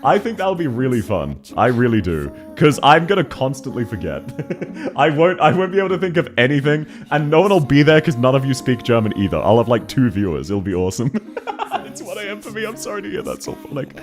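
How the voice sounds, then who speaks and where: A man with a thick Australian accent, chatting casually and quickly as a game streamer, laughing often while reading chat aloud. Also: mid-range voice, soft background music. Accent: Australian, unusual variety. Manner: fast-talking, laughs often, animated.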